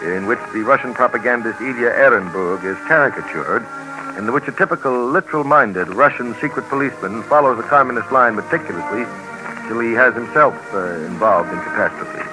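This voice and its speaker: A man narrating a 1950s radio documentary, speaking in a measured way straight to the listener. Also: mid-range voice, animated, lightly acted, rough recording. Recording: old radio recording with music behind.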